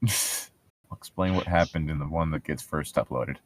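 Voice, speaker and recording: A man's voice: rumbling low, moderate pace, no echo. A young man casually bantering and reading aloud in a mocking, sarcastic way, swearing freely. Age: young adult. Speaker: co-host reading and mocking a book.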